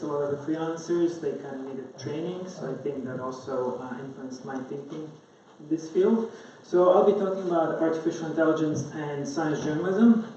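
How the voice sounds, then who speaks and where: A man's voice: a little high, darkish tone. Echoey, distant man presenting. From Croatia. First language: Croatian. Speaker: conference speaker, science journalist. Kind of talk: informal conference presentation. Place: indoor conference room. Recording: very echoey room, distant mic, dull, faint noise.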